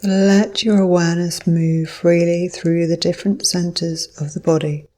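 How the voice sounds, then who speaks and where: A woman leading a guided yoga nidra meditation as instructor, giving measured instructions in short phrases with pauses between them. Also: lowish voice, level tone, mellow.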